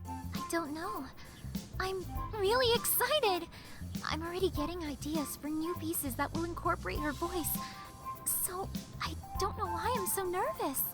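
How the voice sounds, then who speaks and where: Very high, soft schoolgirl musician. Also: animated, unhurried, over music. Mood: nervous, earnest. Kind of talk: anime-style visual novel game voice acting.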